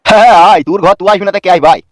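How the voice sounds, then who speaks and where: A man's voice: sits high, racing, a little hiss or hum, close to an everyday voice. A man voicing a doting, touchy young newlywed husband in a comedy cartoon. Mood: welcoming.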